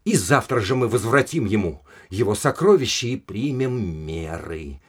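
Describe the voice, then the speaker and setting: An actor in an audio drama playing a haughty, indignant aristocratic official who speaks in an emphatic, scornful and domineering way. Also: male voice, on the low side, animated, normal speed, breathy edge. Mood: brisk, confident. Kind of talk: Russian audio drama acting.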